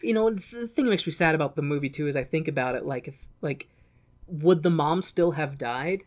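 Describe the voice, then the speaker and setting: A medium-pitched podcast co-host talks in a casual, unscripted way. The delivery is animated and conversational, like someone retelling a film to a friend. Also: fairly quick. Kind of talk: casual chat recapping a film.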